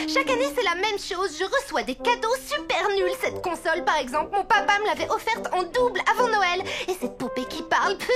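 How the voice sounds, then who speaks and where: Bratty, very high-pitched little rich girl. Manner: whiny, bossy, dismissive. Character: spoiled, haughty rich little girl, easily annoyed. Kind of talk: animated cartoon voice acting.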